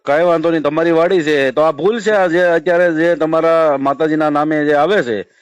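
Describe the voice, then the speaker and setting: Guy with saurashtra gujarati accent grilling someone on a call, a bit high pitched, dry sound, keeps pushing. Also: steady tone, brisk, clear, resonant tone, no echo, muffled recording. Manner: probing, persistent. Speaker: caller challenging a shrine keeper. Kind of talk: confrontational phone questioning.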